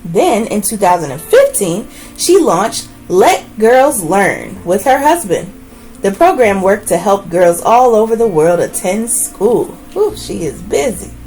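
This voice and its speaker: A woman reading a children's book aloud as host, with expressive, deliberate phrasing and brief asides. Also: medium pitch, faint music behind, poor audio.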